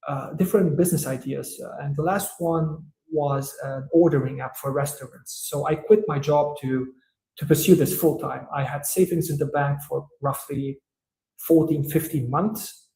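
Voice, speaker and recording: A man from Romania, an entrepreneur guest in an interview, who answers in a thoughtful, hesitant way with many "uh" pauses. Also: a little high, fairly even intonation, dark tone, reverberant, poor audio.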